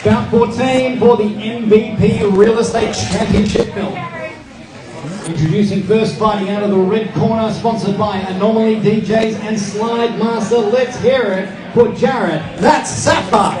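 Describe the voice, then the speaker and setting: A man working as master of ceremonies at a boxing weigh-in, announcing in a loud, showy style with drawn-out introductions. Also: high voice, steady tone. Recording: echoey PA from far off, loud crowd and music. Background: loud music and crowd.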